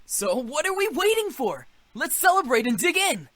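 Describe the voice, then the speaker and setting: A woman's voice: high voice, very animated, moderate pace, a bit bright, dry recording. A woman voicing a cheerful, boyish young male incubus in a visual novel game. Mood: excited.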